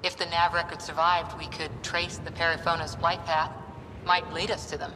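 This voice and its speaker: An actress playing a composed, analytical female soldier officer who speaks briskly, in noisy game audio. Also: on the low side, flat delivery, long reverb tail, close to an everyday voice.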